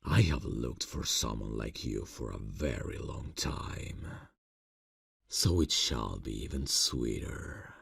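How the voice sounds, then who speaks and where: A man playing an ancient, courtly vampire boss in an ASMR fantasy roleplay, seductive and quietly menacing, speaking formally and deliberately. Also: animated, no room sound, exaggerated voice. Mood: seductive, commanding. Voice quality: very deep, gravelly, breathy. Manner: slow, formal, silky, deliberate. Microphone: close microphone, dry sound.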